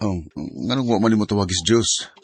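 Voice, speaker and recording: A man's voice: on the low side, brisk, slightly rough. A man acting a young man secretly in love with his best friend, earnest and sentimental, in a Filipino radio drama with a Cebuano accent. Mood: lovelorn, hesitant.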